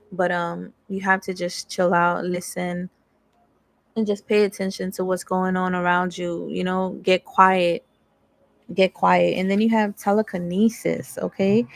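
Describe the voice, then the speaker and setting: A woman's voice: lowish voice, vocal fry, dry recording. A young woman giving a casual, candid tarot reading, reading card text aloud and then riffing in slangy asides. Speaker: tarot reader.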